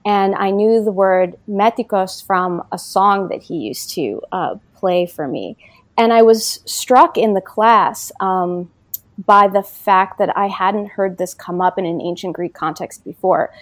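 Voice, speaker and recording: A woman political science professor being interviewed about her book, speaking thoughtfully with many pauses and fillers. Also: on the low side, no room sound. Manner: thoughtful, many ums and you knows. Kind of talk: academic interview.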